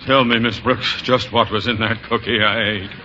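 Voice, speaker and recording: An actor playing a blustery, grumpy middle-aged school principal in an old radio sitcom, pompous and exasperated. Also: male voice, fairly low pitch, steady tone, moderate pace, natural voice. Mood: queasy, irritable. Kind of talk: 1940s-50s radio sitcom acting. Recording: muffled vintage radio, light noise.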